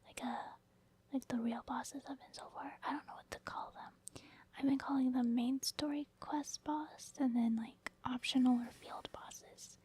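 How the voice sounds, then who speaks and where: A woman whispers her way through ASMR gaming commentary. Her voice is high and stays within a narrow, even range of pitch. She thinks aloud in a casual, explanatory way. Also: unhurried, slightly rough. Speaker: gaming ASMR video creator.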